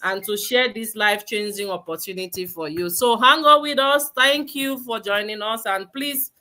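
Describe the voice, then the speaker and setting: A woman's voice: mid-range voice, moderate pace, sharp tone. A woman from Nigeria hosting a live online webinar, upbeat and exhortative, asking many questions of the audience. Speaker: host. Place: lives in Sweden.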